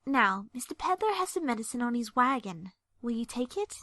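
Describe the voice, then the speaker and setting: A woman's voice: fairly high pitch, very animated, brisk, fairly bright tone, no echo. A woman playing a sweet, easily flustered, genteel young pony in an audio drama, polite and hesitant. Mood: tender. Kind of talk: audio drama character acting.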